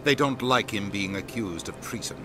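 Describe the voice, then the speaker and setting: An actor playing a testy, authoritative ambassador in a video game, curt and reproving. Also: male voice, fairly low pitch, moderate pace, noisy background, close to an everyday voice.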